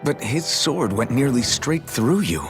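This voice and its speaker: A man playing an earnest, protective young swordsman in a video game, speaking haltingly and anxiously. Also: mid-range voice, lively intonation, faint music behind, lightly acted. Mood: worried. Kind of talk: video game cutscene voice acting.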